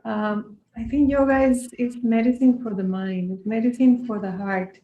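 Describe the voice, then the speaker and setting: Earnest yoga teacher lady from costa rica, soft-spoken, roomy echo around her. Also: on the high side, steady tone, moderate pace. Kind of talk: reflective interview talk.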